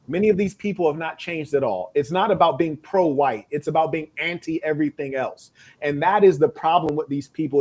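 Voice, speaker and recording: A man's voice: fairly high pitch, a little mellow. A man giving blunt, emphatic commentary in casual slang. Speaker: podcast guest commentator.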